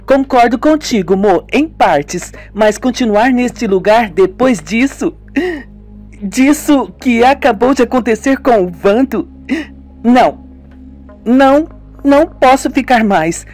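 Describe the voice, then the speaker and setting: A man voicing a frightened young woman who insists on leaving, in a strained falsetto, for a homemade radio drama. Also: lively intonation, slow pace, a little mellow, soft background music, exaggerated voice. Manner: high strained falsetto, pleading.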